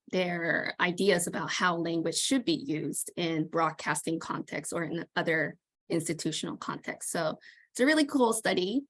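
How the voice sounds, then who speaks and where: Woman from Japan, 30s, lowish voice, thoughtful, video call. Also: a bit bright. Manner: thoughtful, with frequent fillers. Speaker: PhD student guest. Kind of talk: interview answers. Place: small room at home.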